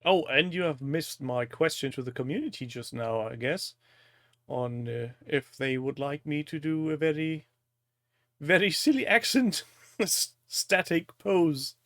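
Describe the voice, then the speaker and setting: A man's voice: a little high, a little slow, fairly bright tone, no echo, clean studio sound. A man from Germany with a German accent, a native German speaker, hosting a casual live-stream chat in a jokey, teasing manner. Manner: jokey, crude humour, teasing.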